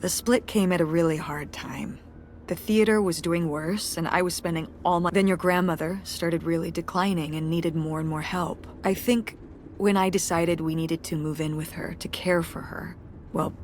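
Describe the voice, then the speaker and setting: A woman's voice: on the low side, steady tone, normal speed, darkish tone, slight background noise, close to an everyday voice. An actress playing a wry, tired middle-aged mother who runs a community theater, speaking with a dry, self-deprecating humor in naturalistic game dialogue. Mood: heavy.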